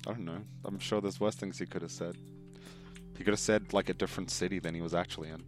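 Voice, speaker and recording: Young male co-host, very low voice, dry deadpan humor. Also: fast, music in the background. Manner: dry, deadpan absurd jokes. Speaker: podcast co-host. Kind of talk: casual banter over a game.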